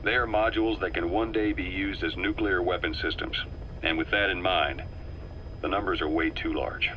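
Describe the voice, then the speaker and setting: A shrewd intelligence officer from a war game, speaking in a deep voice with a bright, forceful edge. His delivery is measured and persuasive, with a dry sardonic streak. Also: steady tone, a little hiss or hum, low-quality recording. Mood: analytical. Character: shrewd male intelligence officer, calm and knowing. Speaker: advisor on a recorded tape. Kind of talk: video game voice acting.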